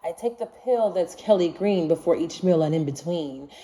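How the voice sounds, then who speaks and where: Animated woman reading a rhyming storybook. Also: fairly low pitch, moderate pace. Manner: expressive, singsong rhyming delivery. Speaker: storybook reader. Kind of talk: children's picture book read-aloud in rhyme.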